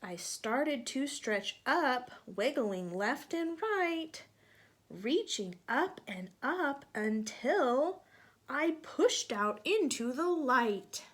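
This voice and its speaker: A woman reading a picture book aloud to young children, gently asking them questions and sometimes dropping to a soft whisper. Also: sits high, big pitch swings, extremely slow.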